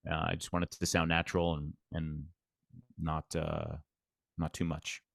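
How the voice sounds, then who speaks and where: A man who works as an arena PA announcer, talking earnestly in a casual interview with a deep voice. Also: flat delivery, moderate pace, creaky, a bit bright, dry recording, studio quality. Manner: earnest, plainspoken, repeats himself.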